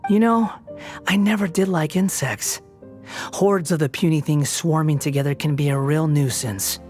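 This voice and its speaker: An actor playing an aloof, proud young wandering god in a video game, speaking with a cool, dry, mocking delivery. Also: male voice, on the high side, normal speed, darkish tone, faint music behind, natural voice. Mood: scornful. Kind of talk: video game cutscene dialogue.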